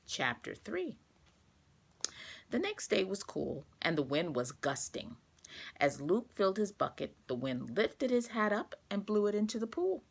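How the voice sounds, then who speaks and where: A woman reading a children's storybook aloud, expressive and carefully paced for young listeners. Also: medium pitch, measured pace, creaky, husky.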